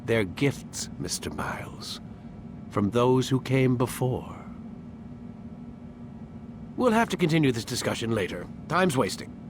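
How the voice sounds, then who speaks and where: An actor playing a smooth, condescending middle-aged male scientist in a video game, calm and superior. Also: mid-range voice, measured pace, long pauses. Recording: game scene with clear background noise.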